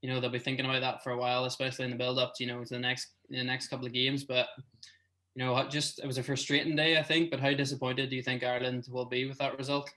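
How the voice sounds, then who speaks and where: A man co-hosting a sports podcast in relaxed chat, often saying "you know". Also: mid-range voice, fast. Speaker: podcast co-host.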